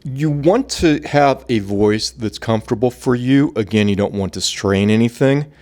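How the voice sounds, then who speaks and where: A man talking through voice and breathing exercises in a relaxed, conversational way. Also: mid-range voice, moderate pace. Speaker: voice coach. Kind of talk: instructional talk.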